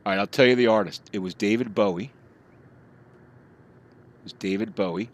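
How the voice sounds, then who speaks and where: A man's voice: on the low side, normal speed. A middle-aged man chatting casually with his live chat while he plays, rambling from topic to topic. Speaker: streamer.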